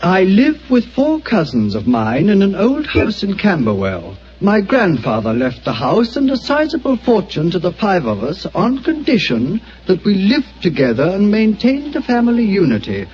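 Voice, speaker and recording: A worried, brusque client. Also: male voice, high-pitched, huge pitch swings, normal speed, hardly any pauses, darkish tone. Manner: urgent, businesslike, worried. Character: anxious, brusque male insurance broker client. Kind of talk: 1940s radio acting. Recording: dull old radio broadcast with faint hiss.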